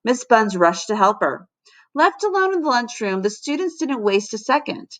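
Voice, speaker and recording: A woman teacher narrating a children's storybook aloud in an expressive, dramatic way. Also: on the high side, moderate pace. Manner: animated, dramatic.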